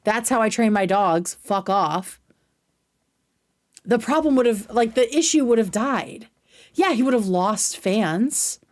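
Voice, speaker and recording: A woman's voice: on the high side, expressive, a little slow, darkish tone. A woman hosting a livestream, giving informal, opinionated commentary and explaining her points at length. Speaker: livestream host. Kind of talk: casual commentary reacting to clips.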